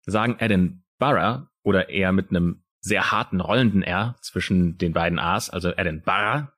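A male true crime podcast co-host with a Palatinate German accent, telling the story dramatically with pauses. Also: deep voice, fairly bright tone.

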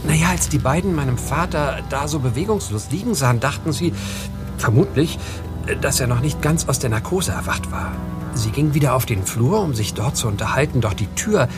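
An actor playing an earnest, anxious young foreign envoy in a German audio drama. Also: male voice, mid-range voice, animated, brisk, music in the background, lightly acted. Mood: earnest explaining.

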